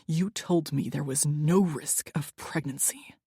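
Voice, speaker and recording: A woman's voice: on the low side, animated, a little slow, a little mellow, slightly rough, dry recording, studio quality, full character voice. A woman voicing an angry young man who sounds cold and clipped and talks through clenched teeth. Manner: clipped, seething.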